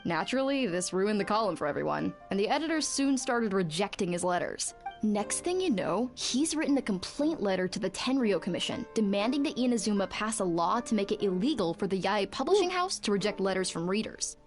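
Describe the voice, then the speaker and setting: A blunt female video game character with a middling pitch. She tells stories in a wry, matter-of-fact way and sounds exasperated by troublesome people. Music plays behind her. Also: very animated, fairly quick. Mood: wry, dismissive. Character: blunt, exasperated woman gossiping about a troublesome patient. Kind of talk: video game dialogue acting.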